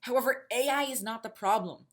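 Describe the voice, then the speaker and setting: A woman's voice: a little high, a little fast, natural voice. A woman voicing an earnest, uneasy young male teacher who pleads his case in an audio drama. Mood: pleading, insistent.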